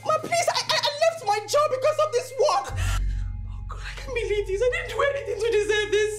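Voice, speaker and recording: A woman's voice: a little high, fast talker, close to an everyday voice. A woman playing a nanny-cook who pleads her innocence, desperate and tearful. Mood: pleading. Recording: echoey room with music behind.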